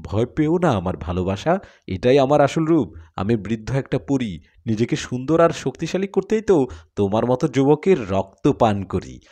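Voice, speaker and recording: An ancient female fairy from a horror tale, acted out by a male narrator. She is possessive and frightening, coaxing with quiet menace. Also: mid-range voice, big pitch swings, normal speed. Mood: menacing coaxing.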